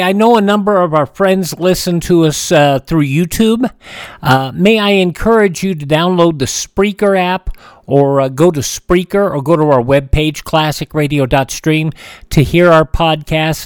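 A man hosting a classic radio program, chatting in a relaxed, rambling way with frequent fillers. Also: fairly high pitch, no echo, clean studio sound. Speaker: radio show host. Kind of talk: casual radio host chat.

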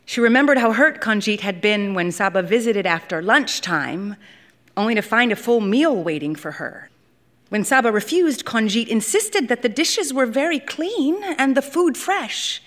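A woman reading a literary short story aloud in an expressive narrating style. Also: on the high side, moderate pace. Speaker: story reader.

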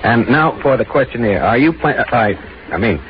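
An actor playing a nervous, hesitant American pollster in a 1940s radio noir drama. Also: male voice, mid-range voice, expressive, fairly quick, slight background noise, close to an everyday voice. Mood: nervous, polite. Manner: hesitant, stammering, polite in dialogue. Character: nervous sunburned pollster, hesitant man smitten by a housewife. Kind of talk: 1940s radio noir acting, first-person narration and dialogue. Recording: muffled old 1940s radio recording with music.

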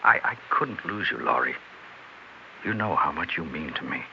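An actor playing a scheming, persuasive American husband in a 1960s radio drama, smooth-talking but hesitant under pressure. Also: male voice, very deep voice, expressive, moderate pace, full character voice. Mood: insistent, pleading. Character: scheming, smooth-talking husband who plotted his wife's murder. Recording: dull, hissy 1960s radio recording.

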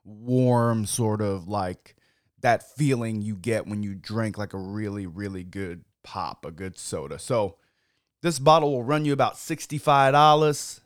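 A young man hosting a casual wine-tasting podcast, hoarse from yelling, talking loosely with lots of fillers and swearing. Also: on the low side, unhurried, no echo, studio quality. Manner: loose and chatty, many "like" fillers, swears freely. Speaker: podcast host.

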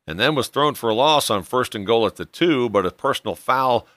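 A man's voice: mid-range voice, animated, a little fast, fairly bright tone, no room sound, clean studio sound. An American man hosting a sports podcast, narrating a scripted football recap in a broadcast style. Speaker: sports podcast host and radio play-by-play announcer.

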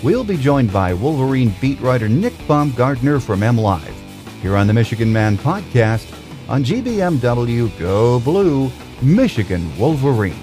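A man's voice: medium pitch, sing-song, unhurried, dark tone, faint music behind. A man hosting a sports podcast, introducing segments and guests in a conversational style.

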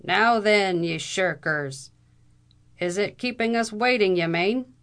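A man's voice: high voice, a little slow, gravelly, pushed and bright, heavily acted. A woman voicing a fierce, blustering Irish army captain in a storybook reading, vociferous and indignant. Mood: furious indignation.

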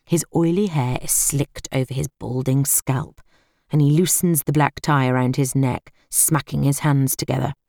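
A woman's voice: very deep voice, fairly even intonation, normal speed, gravelly, a little mellow, dry recording, studio quality. A British woman reading first-person fiction as an audiobook narrator.

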